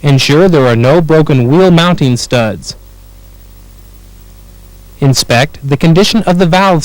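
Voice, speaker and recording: A man with an American accent reading scripted instructional narration for a training film in a clear, measured way. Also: fairly high pitch, dry recording, low-quality recording.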